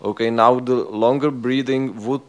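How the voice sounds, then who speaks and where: A man, a meditation student, speaking haltingly with many fillers and restarts. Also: mid-range voice, fairly even intonation. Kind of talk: conversation with teacher.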